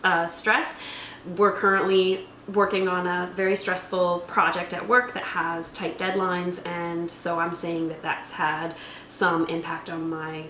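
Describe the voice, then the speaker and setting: A woman's voice: lowish voice, little pitch movement, measured pace, bright, forceful tone. A woman hosting a casual explainer, talking through tabletop game rules. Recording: echoey room, faint background noise.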